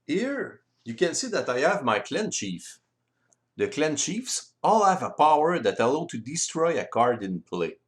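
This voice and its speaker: Slow-paced French man explaining game rules. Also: a little high, big pitch swings. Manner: careful, deliberate non-native English delivery. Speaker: board game rules presenter. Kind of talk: explanatory tutorial voice-over.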